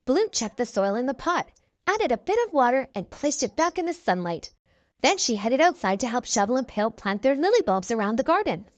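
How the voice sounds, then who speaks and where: A woman's voice: high voice, big pitch swings, a little fast, dry recording. A woman narrating a children's book aloud, expressively and with careful enunciation. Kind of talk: read-aloud storytelling for young children.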